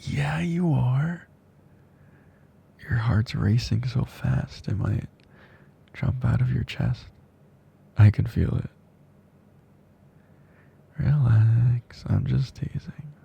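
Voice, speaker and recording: A man playing a tender, teasing best friend confessing love in an intimate ASMR roleplay, speaking softly and slowly with breathy drifts into whispering. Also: medium pitch, heavily acted. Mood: relieved, teasing.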